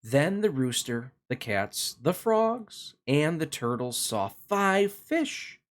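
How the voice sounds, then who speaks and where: Slow, animated man reading to children. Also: on the high side. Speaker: host of a children's read-along. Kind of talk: slow, expressive picture-book narration for children.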